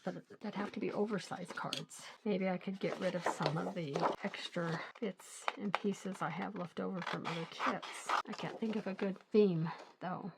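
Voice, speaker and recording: Hoarse, gravelly woman crafting and chatting. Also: medium pitch, very noisy. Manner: relaxed, rambling, chatty. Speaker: crafting channel host. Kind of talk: casual chat while crafting.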